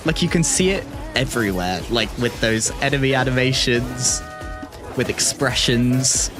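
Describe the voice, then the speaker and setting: A man giving casual livestream reaction commentary, enthusiastic and thinking out loud as he goes. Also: mid-range voice, a little slow. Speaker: streamer. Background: music from game trailers.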